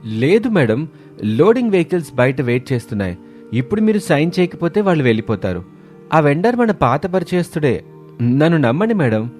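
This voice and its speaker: A man's voice: on the high side, very animated, brisk, darkish tone, faint music behind. A man voicing a sly office colleague who feigns humility, urging and ingratiating, in a moral story. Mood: urgent persuading. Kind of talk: animated moral story dialogue.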